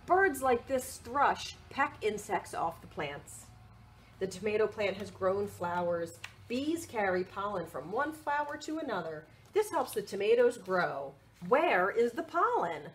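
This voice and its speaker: A woman reading a picture book aloud for children's storytime, expressive and sing-song, prompting young listeners with questions. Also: mid-range voice, unhurried, slight background noise.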